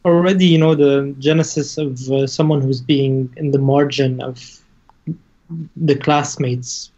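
A man from Lebanon with a dark, relaxed voice talks casually as a guest in an interview. He is halting, often searching for words, and the sound is somewhat dull and lo-fi. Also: medium pitch. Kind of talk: casual conversation.

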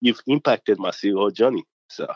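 A young man from Nigeria, whose first language is not English, telling stories as a student athlete in a casual interview, chatty and animated, with many fillers. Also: on the low side, moderate pace, no room sound, dull audio.

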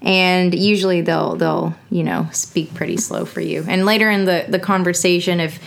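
Joking Texan woman, low voice. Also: steady tone, moderate pace, gravelly. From Houston, Texas. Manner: chatty, joking, laughs often. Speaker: podcast co-host, medical applicant. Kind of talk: casual podcast chat.

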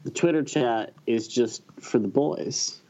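A man's voice: mid-range voice, slow, warm tone. Dull-sounding recording of a wry male podcaster. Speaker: podcast co-host. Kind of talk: casual chat and anecdote. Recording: slightly dull, lo-fi audio.

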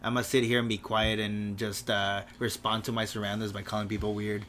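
A man's voice: lowish voice, flat delivery, a little fast, a bit bright, a little hiss or hum. A young man co-hosting a casual chat with a friend, blunt and swearing, laughing often. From Mexican heritage. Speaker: podcast co-host.

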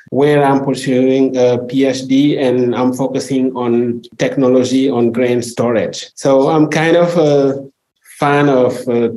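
A man from Senegal, a researcher guest, telling stories in a chatty, halting way. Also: mid-range voice, warm tone, rough recording. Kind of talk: interview guest.

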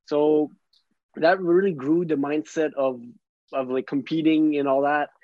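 French-Canadian man, slightly higher voice. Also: steady tone, unhurried, no room sound, highs cut off. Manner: earnest, loose, lots of "like". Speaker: podcast guest. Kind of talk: casual podcast interview.

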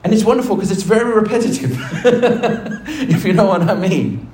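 Guy preaching, pretty high voice, lots of room echo, asks the crowd questions, slows way down to make a point. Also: sing-song, a little mellow, a little hiss or hum. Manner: preaching with rhetorical questions to the congregation; swings between quick asides and slow, low emphatic phrases. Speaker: pastor. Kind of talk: sermon.

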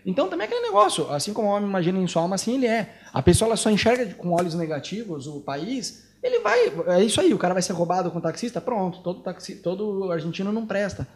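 A Brazilian man with a Brazilian Portuguese accent hosts a missionary talk show, making his points with emphasis and frequent laughter. His voice sits high and his words come out quickly. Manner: animated, emphatic, laughs often. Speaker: missionary host.

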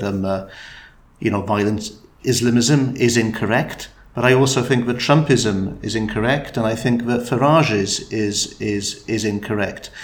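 A man in a conversational discussion, thinking aloud with frequent restarts and fillers. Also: fairly low pitch. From Wales. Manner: thoughtful, halting, many restarts and fillers. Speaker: interviewee.

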